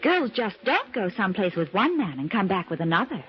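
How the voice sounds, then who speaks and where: A woman's voice: medium pitch, sing-song, fairly quick, natural voice. Sassy young dame with swooping intonation acted by a woman. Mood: coy, teasing. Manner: coy, wisecracking. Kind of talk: old-time radio drama acting. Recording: muffled vintage radio broadcast.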